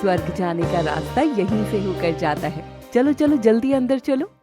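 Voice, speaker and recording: A woman's voice: medium pitch, animated, normal speed, lightly acted. A woman plays a sly cartoon fairy luring forest animals. She is composed and coaxing, in a mid-range voice over prominent music. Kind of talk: Hindi animated moral story acting.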